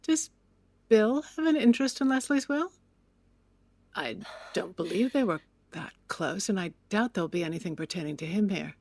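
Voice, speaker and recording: A wealthy widow of the 1920s, speaking with imperious, cutting sarcasm and easy indignation. Her mid-pitched voice sits close and dry, in the manner of a period radio play. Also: animated, normal speed, a little mellow, no room sound. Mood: haughty, suspicious. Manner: arch, sardonic, imperious. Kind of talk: period audio drama acting.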